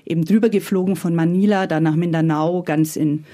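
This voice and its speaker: Chatty Franconian woman, mellow voice. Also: lowish voice, steady tone, brisk. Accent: Franconian German. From Franconia, Germany. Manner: chatty, hesitant, often laughing. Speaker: interview guest. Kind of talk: casual conversational storytelling.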